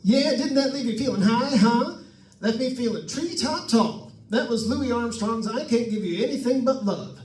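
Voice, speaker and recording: A man's voice: very high-pitched, lively intonation, normal speed, darkish tone, far from the mic. A man playing a jovial, chummy radio disc jockey in old-time radio drama style, with showy patter. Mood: chummy, upbeat. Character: Smiley Smith, excitable jovial male radio disc jockey. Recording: roomy stage pickup from afar, music behind.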